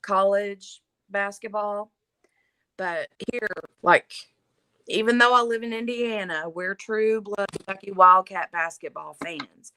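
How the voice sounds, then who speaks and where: A woman's voice: medium pitch, slow pace, sharp tone, smooth, no echo, poor audio. A woman hosting a casual livestream from home, chatting and laughing and reading viewer comments aloud. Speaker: livestream host. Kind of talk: casual live chat.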